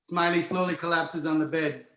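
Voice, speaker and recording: A man's voice: a little high, flat delivery, moderate pace, bright, forceful tone. A man reading stage directions as scripted narration for a screenplay table read. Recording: roomy, echoey home recording.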